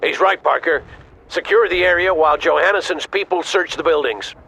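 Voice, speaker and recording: An actor playing a stern, decisive army officer giving clipped, authoritative orders in a video game. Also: male voice, fairly high pitch, brisk, vocal fry, bright, forceful tone, a little hiss or hum, low-quality recording. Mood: brisk, commanding. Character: stern commanding male army officer, decisive battlefield leader. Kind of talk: video game radio-briefing acting.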